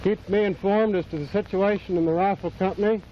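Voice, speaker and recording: A man playing a brisk army platoon leader, giving clipped, rehearsed orders in a 1930s training film. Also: high-pitched, steady tone, close to an everyday voice. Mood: firm, commanding. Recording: dull, hissy 1930s outdoor film sound.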